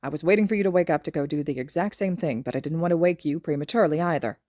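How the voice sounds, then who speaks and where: A woman's voice: low-pitched, racing, mellow, no echo, clean studio sound, lightly acted. A woman voicing an irritated, weary older man, a retired hero, in an audiobook-style story reading, insistent and exasperated.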